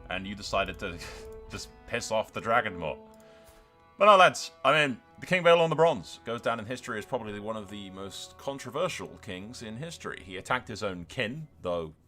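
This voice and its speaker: A man's voice: fairly low pitch, very animated, normal speed, bright, forceful tone, faint music behind. A man giving casual, sweary gameplay commentary as a gaming YouTuber, chatting to his viewers in a blokey way.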